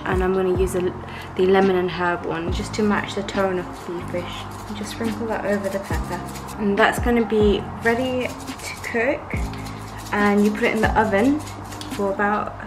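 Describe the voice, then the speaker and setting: Woman, cooking vlog voice-over, chatty and upbeat, mid pitch, music under her voice. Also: poor audio. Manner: chatty, upbeat, talks viewers through steps. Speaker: cooking vlogger. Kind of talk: casual recipe voice-over. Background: background music.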